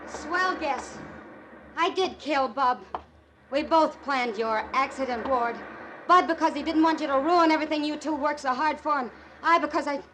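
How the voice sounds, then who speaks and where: An actress playing a jealous, scheming, defiant murderess in an old-time radio crime drama. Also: female voice, high voice, normal speed, natural voice. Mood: bitter. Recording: old radio recording with faint noise.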